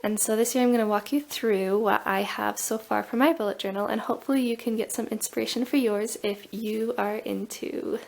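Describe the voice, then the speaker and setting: Chatty woman with a cold, slightly gravelly. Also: fairly high pitch, steady tone, a little fast, hardly any pauses. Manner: chatty, self-describedly nerdy, thinks aloud with fillers. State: has a cold. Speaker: booktuber. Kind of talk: casual walkthrough vlog. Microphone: overhead camera on table, hands only.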